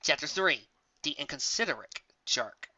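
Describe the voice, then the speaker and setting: A reader narrating a scripted fantasy story in a theatrical, expressive way. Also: medium pitch, moderate pace, no room sound, dull audio. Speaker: audiobook reader. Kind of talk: scripted narration of fan fiction story, dramatic.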